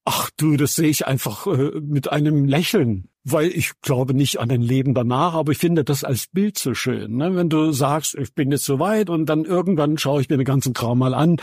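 A man's voice: on the high side, normal speed, few pauses, darkish tone, breathy edge, dry recording, studio quality. A man in his seventies from Saxony, chatting casually as an interview guest in a colloquial, wry, plain-spoken way. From Saxony, Germany. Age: 70s. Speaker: interview guest, veteran radio journalist and musician. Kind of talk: casual conversational anecdotes.

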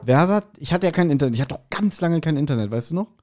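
A man chatting casually as a podcast host, telling anecdotes in an animated way and acting out quotes. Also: a little high, fast talker. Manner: animated retelling with acted-out quotes. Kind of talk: casual chat, anecdotal storytelling.

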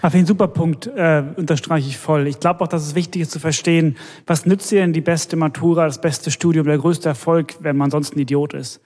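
A man's voice: fairly high pitch, steady tone, brisk, hardly any pauses, darkish tone. A man on a discussion panel speaks emphatically. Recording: reverberant hall.

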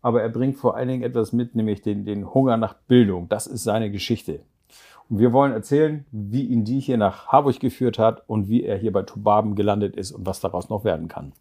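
A man's voice: on the low side, fairly even intonation, fairly quick, dark tone. A man hosting a podcast interview who draws out his guest with questions and fills in answers. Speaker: podcast host. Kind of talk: conversational interview.

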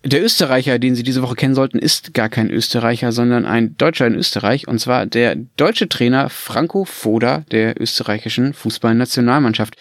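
A man's voice: medium pitch, brisk, few pauses, dry recording. German male podcast host, dry close sound. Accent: German Standard German. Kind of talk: conversational presenting, anecdotes and discussion.

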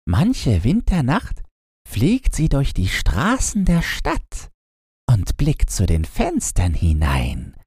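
A man voicing a kindly old grandmother who tells winter tales in a slow, hushed storytelling manner. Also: mid-range voice, wildly swooping pitch, breathy edge, no echo, clean studio sound, pitched above the performer's own voice, full character voice. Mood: gentle, mysterious.

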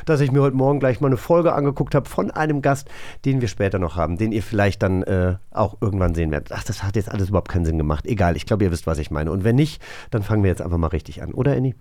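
A man's voice: on the low side, quick pace, a little mellow, dry recording, studio quality. A man co-hosting a podcast, chatting casually in a rambling, self-correcting way. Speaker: podcast co-host. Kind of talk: casual chat.

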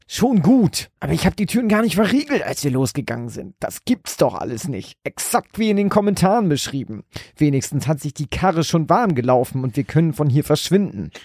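Narrator doing an eager young guy hunting ghosts, breathy n dramatic, dry close sound, horror audiobook. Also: a little high, big pitch swings, brisk, a little mellow, no echo, voice pushed up, exaggerated voice. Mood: breathless disbelief. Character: thrill-seeking young boyfriend, eager, hushed ghost hunter.

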